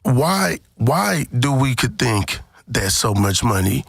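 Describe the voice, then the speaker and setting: Emphatic man with a bright, forceful voice. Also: medium pitch, sing-song, takes it slowly, vocal fry, breathy edge, dry recording, clean studio sound. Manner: emphatic, repeats phrases for stress. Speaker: podcast host. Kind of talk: casual panel discussion.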